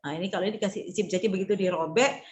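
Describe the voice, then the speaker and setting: A woman's voice: medium pitch, racing, a little mellow. Hesitant woman consultant thinking aloud. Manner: hesitant, many fillers and restarts; informal, conversational explaining. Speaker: marketing consultant. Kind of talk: casual group discussion.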